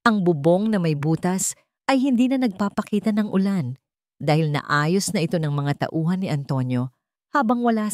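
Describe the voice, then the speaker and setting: A woman's voice: fairly low pitch, dry recording, studio quality. A woman with a Filipino accent narrating a scripted dramatic story.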